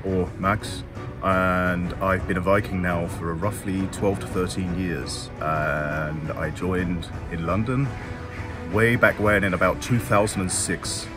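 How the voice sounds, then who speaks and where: Man, 30s, very deep voice, rambling, clear music bed. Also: steady tone, a little slow. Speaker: reenactment group leader. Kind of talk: documentary interview. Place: outdoors by a stone wall.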